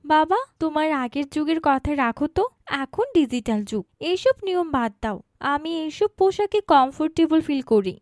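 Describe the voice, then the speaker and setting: A woman plays a defiant modern girl of eighteen in a cartoon story. Her voice is very high and swings widely in pitch as she argues her case insistently. The sound is dry and studio-close. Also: expressive, moderate pace, darkish tone, no echo. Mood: breezy defiance. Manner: argumentative, insistent. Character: defiant, headstrong eighteen-year-old modern daughter. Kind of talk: cartoon dialogue acting.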